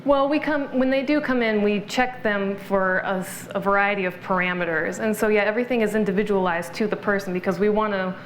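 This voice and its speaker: A woman guest explaining her healing work in an earnest, affirming way. Her voice is mid-pitched and sounds roomy and echoey, with a faint background hiss. She speaks as an interview guest in a small studio. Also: steady tone, a little fast, hardly any pauses. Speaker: guest practitioner. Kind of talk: interview conversation.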